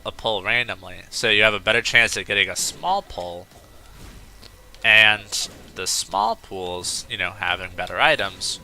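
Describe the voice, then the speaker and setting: A man's voice: fairly low pitch, pushed and bright, slight background noise. A man hosting a let's play, chatting casually and rambling into off-topic asides while he plays. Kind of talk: casual gameplay commentary.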